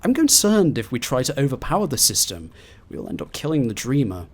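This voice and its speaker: A man doing video game commentary and reading in-game documents aloud in a theatrical, expressive way. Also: mid-range voice, fairly quick. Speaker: gaming video host.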